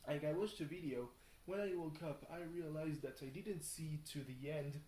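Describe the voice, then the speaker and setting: A tired young man rambles casually through a video diary, halting and thinking aloud, often questioning himself. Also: on the high side, mellow. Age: young adult. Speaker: video blogger. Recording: roomy echo, faint background noise.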